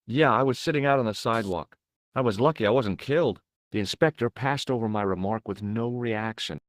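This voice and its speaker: A man's voice: mid-range voice, a little fast, no echo, studio quality, close to an everyday voice. A man voicing a wry, easygoing young American tourist in a video game, conversational and a little sardonic. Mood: casual, wry. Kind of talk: video game dialogue and narration.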